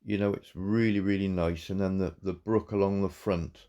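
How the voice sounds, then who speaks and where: A man, croaky from a cold, giving halting, warmly praising commentary on a farm game. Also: deep voice, steady tone. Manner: halting, repeats words, warm praise. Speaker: farm game channel host. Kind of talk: casual gameplay commentary.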